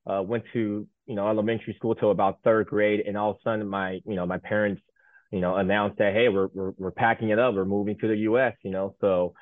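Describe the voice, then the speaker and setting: A man in his thirties from Taiwan, a native Mandarin speaker, talking as an interview guest in a lowish voice. He rambles and fills his sentences with fillers and restarts. The sound is dry and tinny, like a phone line. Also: no room sound. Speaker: guest, Paralympic sled hockey goaltender. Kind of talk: casual interview chat.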